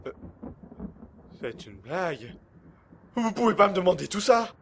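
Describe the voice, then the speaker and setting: A man's voice: high voice, very animated, unhurried, gravelly, a little hiss or hum, heavily acted. A man playing an anxious, cornered employee in an audio drama, hesitant and easily flustered. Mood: shocked, distressed. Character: anxious, cornered male employee being blackmailed. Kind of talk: amateur audio drama acting.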